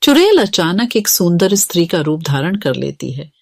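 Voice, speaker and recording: A woman's voice: fairly low pitch, very animated, moderate pace, creaky, no echo, studio quality. A woman narrating a scripted children's story.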